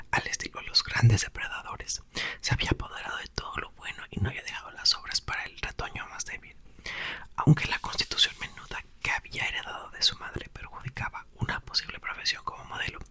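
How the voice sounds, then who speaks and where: Male ASMR reader, unvoiced whisper, unhurried book narration with the odd self-correction. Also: very high-pitched, sharp tone. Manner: unvoiced whisper throughout, slow careful reading; stumbles and corrects himself on words. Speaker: ASMR creator.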